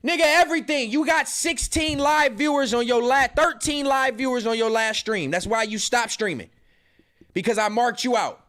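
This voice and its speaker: High-voiced man in his thirties, mocking. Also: normal speed, a bit bright. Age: 30s. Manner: profane, mocking, confrontational. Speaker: podcast host. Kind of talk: heated commentary reacting to a stream clip.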